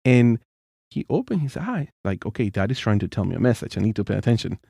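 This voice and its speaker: A man with a deep voice co-hosting a casual parenting chat, earnest and prone to repeating his points. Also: very animated, fast talker, creaky, mellow, husky, no echo, clean studio sound. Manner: earnest, repeats himself, non-native phrasing. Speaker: co-host.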